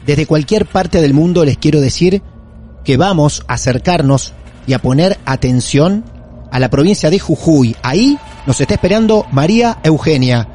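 A man's voice: on the high side, lively intonation, moderate pace, warm tone. Theatrical Argentine male podcast host. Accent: Argentine Spanish. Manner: welcoming and theatrical, reassuring the caller. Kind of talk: paranormal podcast interview.

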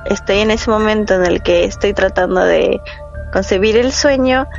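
A woman's voice: mid-range voice, moderate pace, vocal fry, dull audio, soft background music. A woman in her thirties from Ecuador, hesitantly telling her story as a guest, with many fillers. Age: 30s. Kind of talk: casual remote interview.

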